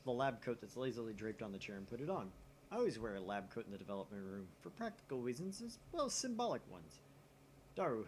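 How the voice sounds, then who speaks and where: A man reading a visual novel script aloud as a let's play host, with expressive delivery and casual asides. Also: mid-range voice. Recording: noisy room mic, slightly off to the side.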